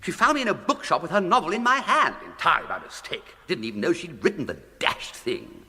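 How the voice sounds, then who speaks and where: An actor playing a flippant, idle young English gentleman with a breezy, plummy, upper-class accent and exclamatory period slang, in a British radio comedy. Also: on the high side, big pitch swings, fairly quick, exaggerated voice. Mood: exasperated. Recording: long echoing room reverb.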